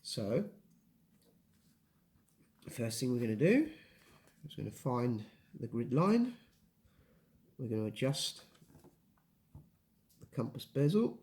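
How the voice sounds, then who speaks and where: A man's voice: mid-range voice, very animated, slow pace, long pauses, darkish tone. A man from England, patiently explaining map and compass basics step by step as a hiking guide. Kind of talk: casual instructional explanation.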